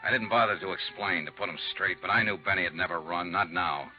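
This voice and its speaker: Low-voiced hard-boiled American radio detective, acted by a man. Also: over music, close to an everyday voice. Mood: grim, urgent. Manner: terse, measured, hard-boiled delivery. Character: steady, world-weary male investigator narrating a manhunt. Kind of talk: 1950s radio detective drama acting and narration. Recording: muffled, noisy 1950s radio recording.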